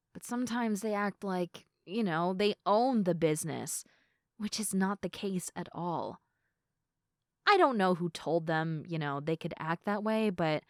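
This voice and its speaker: A woman playing a sleepy, affectionate girlfriend in an intimate ASMR roleplay, chatting softly. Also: mid-range voice, no room sound, clean studio sound, lightly acted. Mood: chatty, earnest.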